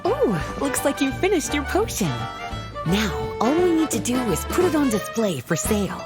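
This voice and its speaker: A woman's voice: sits high, big pitch swings, normal speed, over loud music. A woman playing a confident, teasing young alchemy mentor in a video game, playful and coaxing as she instructs. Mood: encouraging.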